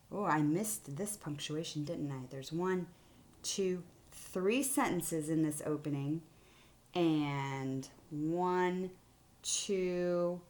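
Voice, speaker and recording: A woman teacher giving a children's writing lesson in simple, explanatory phrases. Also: low-pitched. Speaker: elementary school teacher. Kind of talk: read-aloud writing mini-lesson for children.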